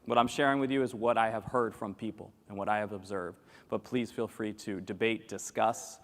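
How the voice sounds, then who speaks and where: A man in his forties giving a lively conference keynote, joking and quizzing the audience as he talks. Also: fairly low pitch, moderate pace. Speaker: keynote speaker. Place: large conference hall with audience at tables. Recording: long reverb of a large hall.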